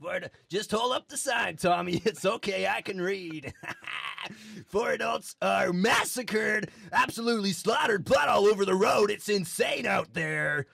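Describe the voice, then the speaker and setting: A man playing a manic, giggly, doom-mongering news anchor with a raspy, gravelly voice in an improvised comedy newscast. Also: high-pitched, lively intonation, measured pace, sharp tone, no room sound, clean studio sound, full character voice. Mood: giddy. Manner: hysterical, bombastic, prone to giggling.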